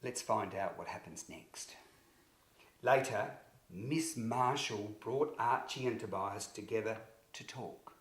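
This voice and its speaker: A man narrating a scripted children's story in an expressive, deliberate way, with emphatic phrasing for young listeners. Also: on the low side. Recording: roomy, echoing sound.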